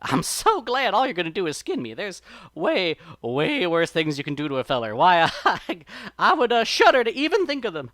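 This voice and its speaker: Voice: medium pitch, wildly swooping pitch, normal speed, fairly bright tone, no echo, clean studio sound, pitched above the performer's own voice. A storyteller voicing a cunning rabbit in a folksy, exaggerated manner, feigning feelings in a spoken folktale. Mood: mock relief. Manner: folksy, drawling, exaggerated pleading and relief. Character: cunning rabbit trickster, feigning relief and dread.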